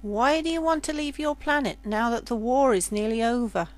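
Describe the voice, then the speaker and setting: A woman's voice: a little high, normal speed, close to an everyday voice. A woman voicing a tall, thin, brusque and matter-of-fact man from a spaceship circus, in a story reading. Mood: suspicious.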